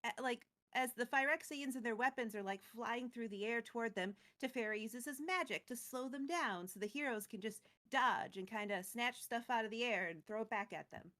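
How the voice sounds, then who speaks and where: A woman's voice: a little high, moderate pace, hardly any pauses, sharp tone. A woman co-hosting a recap show, retelling a story casually with playful, wry, chatty asides. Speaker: co-host of a lore recap show.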